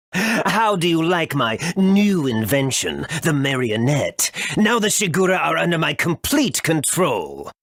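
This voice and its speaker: Raspy, wildly swooping game villain. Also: male voice, fairly high pitch, big pitch swings, slow, a bit bright, dry recording, studio quality, heavily acted. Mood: gloating, mocking. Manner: theatrical, gloating, swooping. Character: cackling, boastful villain inventor with explosive temper. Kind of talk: video game voice acting.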